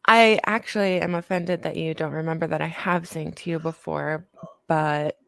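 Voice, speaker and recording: A woman co-hosting a podcast in casual, tired-sounding chat that is relaxed and full of laughter. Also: low-pitched, moderate pace, gravelly, dry recording. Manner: laughs often, relaxed and chatty. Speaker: podcast co-host.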